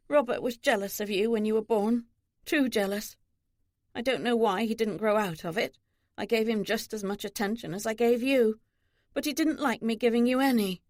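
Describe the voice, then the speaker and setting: A woman's voice: fairly high pitch, a little mellow, dry recording, clean studio sound, lightly acted. A woman voicing a loving, guarded older mother in an audiobook novel, speaking earnestly.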